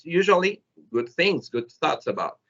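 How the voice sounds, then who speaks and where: Male educator presenting online, Salvadoran Spanish accent, bright forceful higher voice, searching for words, lo-fi call audio. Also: very animated. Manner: halting, searching for words in a second language. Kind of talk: informal webinar presentation. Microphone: video call. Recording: dull, lo-fi video call audio.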